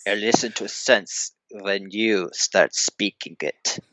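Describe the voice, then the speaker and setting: An actor playing a cold, condescending Soviet scientist in a theatrical horror audio drama. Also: male voice, lowish voice, fairly even intonation, slow, pushed and bright, low-quality recording. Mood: bitter contempt. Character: cold, domineering Soviet male scientist, contemptuous of his captives.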